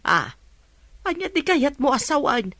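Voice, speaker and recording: A woman's voice: sits high, very animated, measured pace, a bit bright, no room sound, exaggerated voice. A Filipino woman playing a middle-aged, worried mother who cautions her grown son in a radio drama. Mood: fretful, resigned. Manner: fretful, cautioning. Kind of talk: Ilocano radio drama acting.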